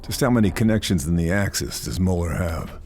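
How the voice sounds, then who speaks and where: An actor playing a grim, resolute Allied sniper in a war video game, terse and hard-bitten. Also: male voice, low voice, expressive, fairly quick, noisy background. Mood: muttering, focused. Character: grim, resolute male Allied sniper operative, stealthy and determined. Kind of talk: video game acting, cutscenes and mission narration. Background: music.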